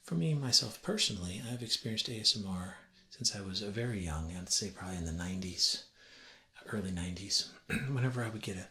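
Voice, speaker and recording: A man, perhaps in his thirties, gently and deliberately explaining things as a teacher in a soft-spoken ASMR roleplay lesson. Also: on the low side, lively intonation. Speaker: ASMR creator playing a teacher. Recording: roomy echo with faint ambient noise.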